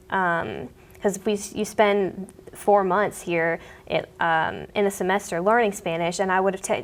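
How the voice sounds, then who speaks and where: A young woman student answering interview questions earnestly, rambling a little with many ums. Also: medium pitch. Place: studio.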